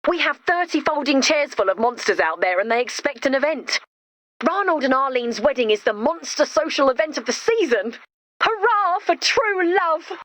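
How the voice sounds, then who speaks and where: A woman voicing a chirpy, confident, quirky young builder in a video game, brisk and matter-of-fact. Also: sits high, lively intonation, a bit bright, no room sound. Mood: cheerful. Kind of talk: video game character dialogue.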